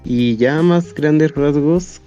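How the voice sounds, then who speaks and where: A man's voice: fairly high pitch, drawn-out words, dark tone, lo-fi sound, soft background music. A young adult man with a Mexican accent, chatting casually as an interview guest about his hobby. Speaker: interview guest, amateur fandub voice actor.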